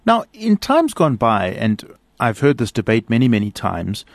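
A man's voice: medium pitch, huge pitch swings, normal speed, creaky, no echo, clean studio sound. A man, a priest hosting a radio show, asking thoughtful, probing questions. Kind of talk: radio interview.

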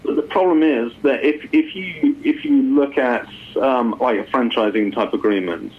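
A man's voice: mid-range voice, moderate pace, a little hiss or hum. A man explaining things as an expert guest in an interview, repeating words as he thinks aloud. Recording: muffled phone-like line.

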